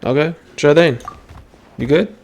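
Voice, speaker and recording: Casual slangy gamer, medium pitch. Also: unhurried, creaky, dull audio, background noise. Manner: slangy, muttering, repeats phrases. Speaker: gaming streamer. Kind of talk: casual playthrough reaction.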